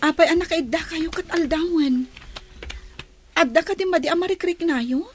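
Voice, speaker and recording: A woman's voice: very high voice, normal speed, faint music behind. A woman playing a devoted, caring young woman in love in a radio drama, with an earnest, pleading manner. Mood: concerned.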